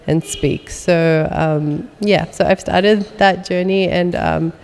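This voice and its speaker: A woman's voice: fairly low pitch, steady tone, slow, darkish tone, in a large hall, rough recording. A young adult woman guest chatting in an interview, full of ums and uhs. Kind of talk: casual interview conversation.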